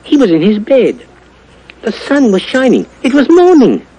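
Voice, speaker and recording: A man's voice: extremely high pitch, wildly swooping pitch, moderate pace, heavily acted, low-quality recording. A man narrating a 1950s radio drama in a dramatic scripted storytelling style.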